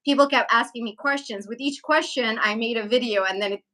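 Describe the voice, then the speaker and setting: A woman's voice: a little high, fairly quick, sharp tone. A woman from Syria who works as a travel vlogger, telling stories informally in a casual livestream chat.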